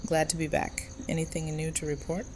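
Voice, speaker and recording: A woman's voice: low voice, little pitch movement, a little fast, darkish tone, slight background noise. A woman playing a stern, guarded and unyielding security chief in an audio drama. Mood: concerned. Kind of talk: full-cast audio drama acting.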